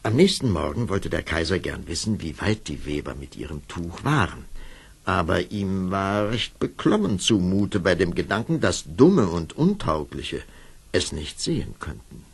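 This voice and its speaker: A man narrating a children's fairy-tale radio play in an expressive storytelling manner. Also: sits low, measured pace, gravelly, husky, full character voice.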